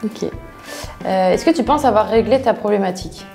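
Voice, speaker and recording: A woman's voice: medium pitch, expressive, normal speed, vocal fry, dark tone, faint music behind. A woman asking casual questions as an interviewer. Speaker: off-screen interviewer.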